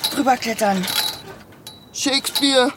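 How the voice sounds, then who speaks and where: A woman's voice: high-pitched, slow pace, background noise. A young woman playing a blunt, stubborn police trainee in a naturalistic radio drama, speaking curtly and dryly. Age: young adult. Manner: curt, dry, colloquial.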